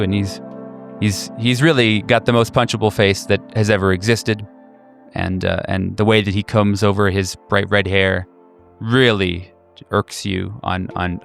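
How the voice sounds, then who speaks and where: A man improvising horror roleplay narration as game master, halting and pausing deliberately. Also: lowish voice, vocal fry, fairly bright tone.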